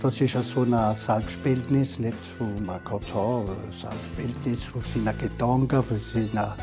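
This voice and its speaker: A man, a painter, talking haltingly in an interview and searching for words. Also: medium pitch, steady tone, music in the background. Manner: hesitant, searching for words, mixes in French terms.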